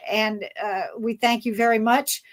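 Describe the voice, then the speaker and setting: A woman's voice: on the high side, steady tone, measured pace. A woman hosting a casual fan panel, talking in a hesitant, rambling way as she searches for words. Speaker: panel host.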